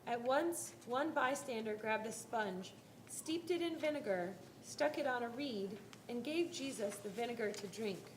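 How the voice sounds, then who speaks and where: A woman narrating a scripted dramatic Bible reading, telling the story in a deliberate, expressive way. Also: on the high side, slight background noise. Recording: roomy live hall, faint music.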